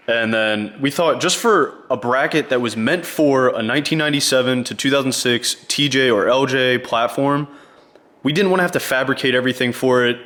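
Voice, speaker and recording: A man's voice: medium pitch, fairly bright tone. A man hosting a casual how-to vlog, talking in a laid-back, rambling way with lots of fillers. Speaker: channel host. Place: garage.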